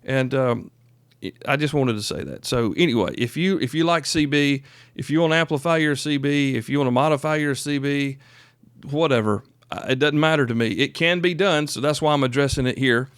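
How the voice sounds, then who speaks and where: A man's voice: mid-range voice, fairly quick, smooth, dry recording, studio quality. A man in his fifties with a Southern US accent, talking informally and plainly as a podcast host, folksy and emphatic. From South Carolina, United States. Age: 50s. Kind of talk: informal solo podcast talk.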